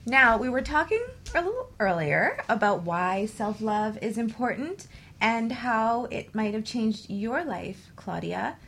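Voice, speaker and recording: A woman's voice: fairly high pitch, animated, measured pace, background noise. A woman hosting a conversational talk show, explaining things reflectively and often checking in with the listener.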